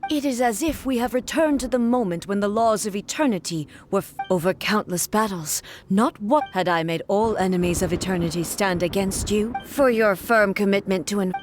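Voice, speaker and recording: A woman voicing a stern, regal immortal warrior ruler in a video game, speaking in formal, measured, solemn declamation. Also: medium pitch, faint music behind, natural voice. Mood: solemn challenge. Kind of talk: scripted video game voice acting.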